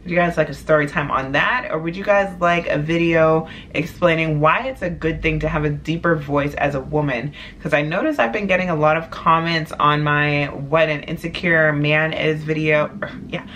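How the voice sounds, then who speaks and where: A young woman with a deep voice chatting casually about her clothing haul in her bedroom, playful and laid-back. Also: fairly even intonation, normal speed, hardly any pauses, a bit bright, a little hiss or hum. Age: young adult. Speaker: vlogger. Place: small bedroom, indoors. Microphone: camera at desk distance.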